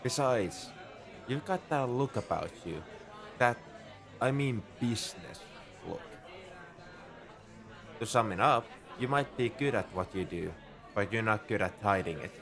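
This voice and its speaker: A man's voice: fairly low pitch, lively intonation, measured pace, creaky, pauses often. A man playing a shrewd, easygoing bartender at a space outpost in a conversational, knowing ASMR roleplay monologue. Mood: knowing, bargaining. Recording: crowd chatter behind the voice. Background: bar crowd murmur.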